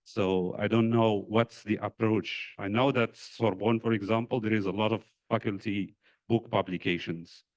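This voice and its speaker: A man in a conference audience putting questions to a panel, halting and thinking aloud as he goes. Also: lowish voice, steady tone, rough recording. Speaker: audience member asking questions. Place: conference hall.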